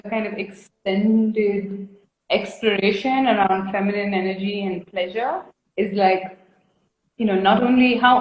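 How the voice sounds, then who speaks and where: A woman's voice: mid-range voice, dull audio. A woman from India in her thirties, a guest artist chatting frankly and at length on a video call, laughing often. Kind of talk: casual interview chat. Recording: echoey room.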